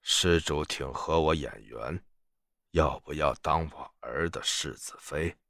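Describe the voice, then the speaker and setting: A man's voice: very deep voice, slow pace, a bit bright, no echo, clean studio sound, full character voice. A man playing a sly, shameless prince posing as a monk, teasing and theatrically aggrieved in a period audio story.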